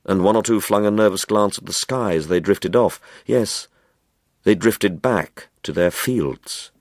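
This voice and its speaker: Low-voiced man reading a story, highly expressive. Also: no echo, clean studio sound. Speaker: audiobook reader. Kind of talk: literary short story narration.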